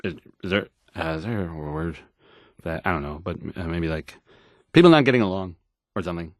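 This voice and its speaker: A man's voice: deep voice, animated, brisk, no room sound, studio quality. An actor playing an awkward young male radio newscaster in a video game, nervous and hesitant, stammering and trailing off. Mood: uncertain, flustered. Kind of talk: in-game radio news acting.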